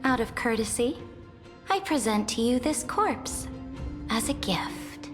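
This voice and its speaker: A woman's voice: on the high side, animated, slow. A woman playing an imperious, conquering empress in a video game, haughty and commanding in a grand, formal, declamatory way. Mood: cold, contemptuous. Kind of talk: dramatic video game voice acting. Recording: long hall reverb, music behind.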